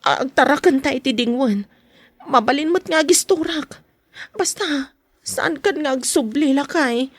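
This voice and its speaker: A woman's voice: high-pitched, lively intonation, unhurried, dry recording, clean studio sound. A woman acting a meek, guilt-ridden young wife and mother in a podcast drama, hesitant and pleading. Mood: remorseful.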